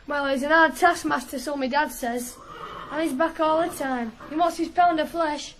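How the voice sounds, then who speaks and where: An earnest, stubborn young farm lad from a radio play, high in pitch and rustic. The old broadcast recording is clearly noisy. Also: male voice, normal speed, fairly bright tone. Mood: earnest, hopeful. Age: young adult. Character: stubborn young ploughboy, devoted to his horses. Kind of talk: 1980s radio drama acting.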